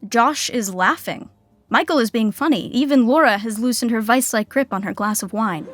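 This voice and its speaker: A woman's voice: fairly high pitch, moderate pace, a bit bright, no room sound, soft background music. A woman giving wry scripted narration for a comedy story.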